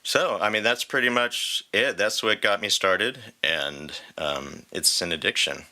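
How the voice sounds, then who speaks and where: A man's voice: on the low side, unhurried, pushed and bright. A man co-hosting a podcast in informal, joking conversation, recorded in a studio. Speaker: podcast co-host, singer and voice actor. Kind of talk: casual podcast chat.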